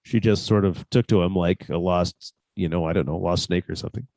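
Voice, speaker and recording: A man in a relaxed group discussion among cast members, speaking hesitantly as he thinks things through. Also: deep voice, fairly quick, no room sound. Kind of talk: casual group conversation.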